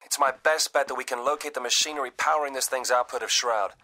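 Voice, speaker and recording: A man playing a calm, analytical mission adviser in a video game, measured and informative. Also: mid-range voice, lightly acted. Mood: calm, helpful. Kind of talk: video game briefing dialogue. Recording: loud game noise behind voice.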